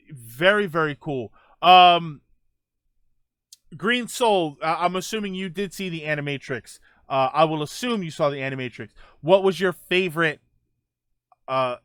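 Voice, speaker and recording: Bright-voiced man chatting on a livestream. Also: fairly high pitch, no room sound, studio quality. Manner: halting, repeats phrase openings. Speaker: livestream host. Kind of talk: casual chat with live viewers, gaming and film talk.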